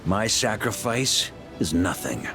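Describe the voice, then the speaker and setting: An actor playing a defiant, noble knight in a video game, with an impassioned and resolute dramatic delivery. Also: male voice, fairly low pitch, big pitch swings, slow pace. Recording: loud music under the voice.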